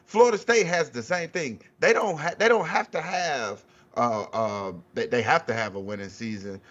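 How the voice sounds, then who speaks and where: A man's voice: mid-range voice, normal speed, a bit bright, dull audio. A man talking sports casually on a panel, blunt and informal, often circling back to restate his point. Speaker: sports talk show guest or panelist. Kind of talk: casual unscripted sports debate.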